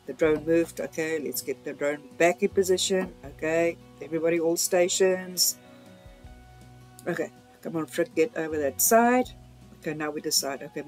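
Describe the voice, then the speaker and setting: A woman's voice: low voice, a little mellow. A woman hosting a vlog, mixing narration with casual chat. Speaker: vlog host. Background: music.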